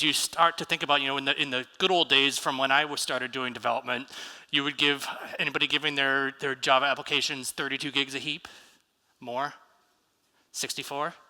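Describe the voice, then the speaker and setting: A man's voice: medium pitch, sharp tone. An American man giving a casual conference talk on stage, asking the audience for shows of hands. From United States. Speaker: conference speaker. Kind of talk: live tech conference talk. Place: large conference hall stage. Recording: reverberant hall.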